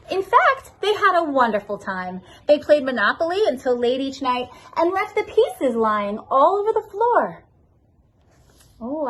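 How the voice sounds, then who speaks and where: A woman's voice: high voice, fairly bright tone. A woman reading a children's storybook aloud expressively, as a school assistant principal addressing her pupils.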